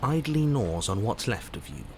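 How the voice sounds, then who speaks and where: Storybook horror narrator, low pitch, grave, measured, dramatic, game narration, clearly noisy background. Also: male voice, very animated. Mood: grave, ominous. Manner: measured, ominous, descriptive. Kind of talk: dramatic scripted second-person narration.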